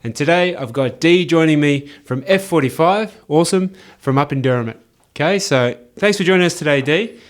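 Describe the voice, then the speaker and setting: A man hosting a podcast, chatting casually and throwing in quick questions and reactions. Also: a little high. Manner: upbeat, quick interjections and follow-up questions. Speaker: podcast host. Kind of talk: casual interview chat.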